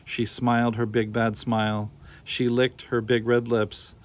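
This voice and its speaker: A man's voice: mid-range voice, flat delivery, measured pace. A man reading a scripted children's story aloud as narrator. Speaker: storybook reader.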